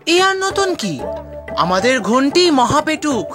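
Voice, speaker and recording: Cartoon village lad played by a woman: eager, earnest, high-pitched with lively intonation. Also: unhurried, over music. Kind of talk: animated cartoon dialogue.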